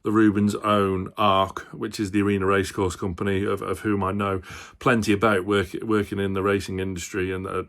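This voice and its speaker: A man presenting on a football fan channel, talking casually to viewers and thinking aloud as he gives his opinion. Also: low voice. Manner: hesitant, thinking aloud, hedging.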